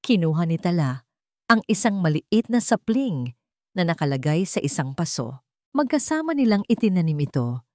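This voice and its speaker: A woman storyteller with a fairly low voice narrates a dramatic story from a script. The sound is dry, with very little room in it. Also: sing-song, normal speed, a little mellow, dry recording.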